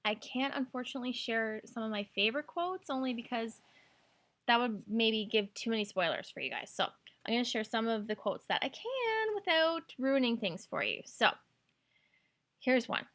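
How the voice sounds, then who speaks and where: Bright-voiced woman hosting a podcast. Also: on the high side, animated, moderate pace. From Canada. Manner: gushing, enthusiastic. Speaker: podcast host. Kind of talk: book recommendation podcast, casual talk with read-out blurbs and quotes.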